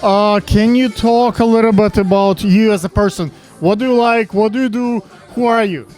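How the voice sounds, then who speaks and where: A man from Ukraine interviewing models at a rooftop fashion event, halting and repeating words in a casual way. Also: high voice, a little mellow, faint music behind. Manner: halting, repeats words, non-native phrasing.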